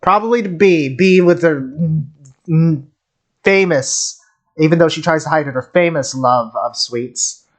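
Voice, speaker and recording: A man streaming casual game commentary, excitable and jokey, rambling as he reacts. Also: fairly high pitch, a little slow.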